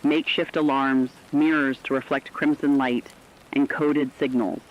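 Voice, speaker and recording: A man narrating a scripted emergency radio broadcast in a grave, measured manner. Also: mid-range voice, flat delivery. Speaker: radio announcer. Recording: slightly dull audio with faint rain noise.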